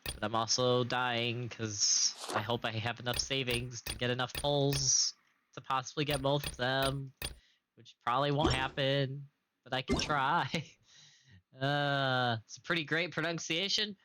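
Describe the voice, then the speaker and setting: A young man streaming a game in casual chat, recovering from illness and slightly raspy, clearing his throat now and then. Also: mid-range voice, fairly even intonation, background noise. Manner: slow, chatty, occasional coughs and throat clearing.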